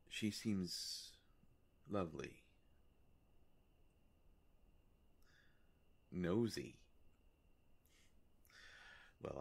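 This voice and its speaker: Slow, gravelly, deep-voiced old vampire played by a man. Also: full character voice. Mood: pleased, courtly. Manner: halting, formal, soft-spoken, courtly. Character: courteous, ancient male vampire, gentle and hesitant. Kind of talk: roleplay audio drama, addressed to the listener.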